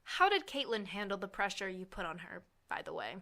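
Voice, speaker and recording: Resentful, anxious student, played by a woman: hurt, bitter edge, bright forceful tone, mid pitch, audio drama. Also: big pitch swings, brisk. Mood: bitter, defiant.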